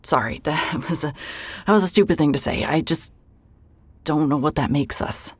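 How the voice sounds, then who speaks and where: A rueful, conflicted woman reporter in a horror audio drama. Her voice is extremely low for a woman and husky, with a dry, close studio sound. She talks haltingly, in a naturalistic way. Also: no echo. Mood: awkward, remorseful. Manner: hesitant, halting.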